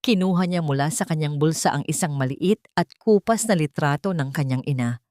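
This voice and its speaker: Low-voiced Filipino woman narrating a story. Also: fairly quick, a little mellow, no echo, studio quality. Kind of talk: dramatic scripted story narration.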